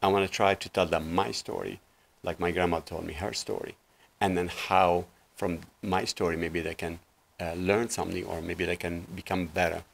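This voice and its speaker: A man in his sixties from Lebanon speaks in a very low voice with a gravelly edge. He tells his story earnestly and loosely, leaning on fillers, as a guest on a small TV studio set. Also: level tone, moderate pace. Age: 60s. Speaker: guest life coach. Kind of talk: casual interview talk.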